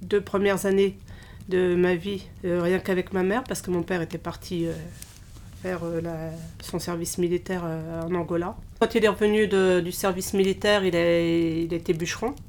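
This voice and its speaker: Halting woman in her fifties, Portuguese-born. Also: fairly low pitch, little pitch movement, a little mellow, a little hiss or hum. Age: 50s. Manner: halting, many pauses and restarts. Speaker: interviewee, childminder. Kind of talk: casual interview conversation.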